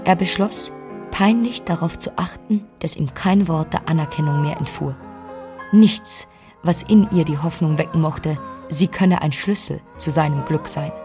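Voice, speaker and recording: A woman's voice: sits low, measured pace. An actress playing a young Regency sister who narrates her family's story in a composed, literary radio-drama style. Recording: clear music under the voice. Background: music.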